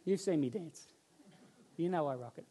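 A man, preaching a sermon on a church stage and telling stories with lively gestures while he walks about. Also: a little high, normal speed. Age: 40s. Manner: animated storytelling, roams the stage gesturing. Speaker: pastor. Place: church stage with band instruments, indoors.

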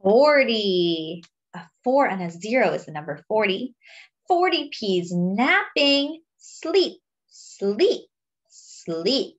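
A young adult woman reading a picture book aloud to small children, addressing them in a deliberate sing-song way. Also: mid-range voice, lo-fi sound. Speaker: children's story reader.

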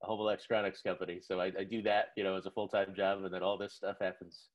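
A friendly man of forty, chatting as an interview guest. His voice sits a bit low, and he answers in an easygoing, agreeable manner with plenty of "yeah"s. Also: flat delivery, fast, highs cut off. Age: 40s. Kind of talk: casual interview chat.

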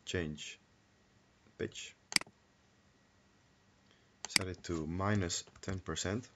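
A man with a low-pitched voice presenting a casual software tutorial, recorded on a computer microphone. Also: extremely slow. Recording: noisy home microphone with clicks.

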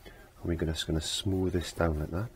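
Croaky, low-voiced man teaching painting. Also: flat delivery, brisk, a little hiss or hum. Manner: rambling, self-talking, repeats phrases. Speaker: painting instructor. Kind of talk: casual step-by-step painting demonstration. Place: indoor home art studio.

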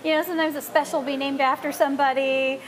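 High-voiced, chatty American woman. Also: flat delivery, fast. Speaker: cafe co-owner, interview guest. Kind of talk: casual, chatty interview talk. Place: small cafe, indoors.

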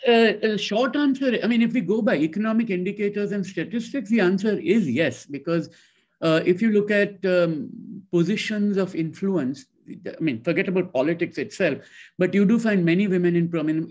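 A man's voice: high-pitched, brisk, darkish tone. A middle-aged man speaking as a panelist over a video call, reflective and hesitant, often restarting his sentences. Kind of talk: discussion answer. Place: indoor room with bookshelves. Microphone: video call webcam.

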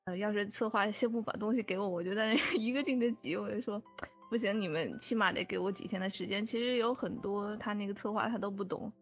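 A woman's voice: medium pitch, fast, highs cut off, faint music behind. A woman in a casual group chat, talking informally with playful complaints. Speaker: drama production staff member.

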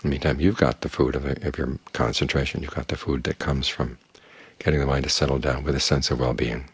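An older man teaching as a Buddhist monk, his voice very low and strongly husky. He speaks calmly and plainly, and the recording is close and dry. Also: fairly quick, no room sound. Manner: calm, plain-spoken, uses homely images. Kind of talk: evening dharma talk.

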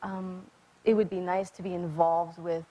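A young woman answering interview questions, soft-spoken and hesitant, with frequent pauses and ums. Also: lowish voice, level tone, husky, highs cut off. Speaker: guest. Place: talk show studio.

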